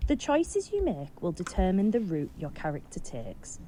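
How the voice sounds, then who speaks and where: A woman narrates an educational game from a script, in a mellow, fairly low voice with lively intonation. It is instructional in style, played as game audio with some noise behind it. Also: moderate pace.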